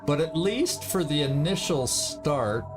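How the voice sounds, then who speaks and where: A man giving casual commentary on a strategy game, thinking aloud in a deliberate way as he plays. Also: fairly high pitch, expressive. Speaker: gaming video host. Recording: game music behind the voice. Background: video game music.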